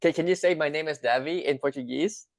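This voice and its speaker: A man's voice: a little high, fairly quick, no echo. A man hosting online chemistry office hours, chatting casually with lots of fillers and repeated phrases over a video call. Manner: friendly, filler-heavy, repeats himself. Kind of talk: casual chat.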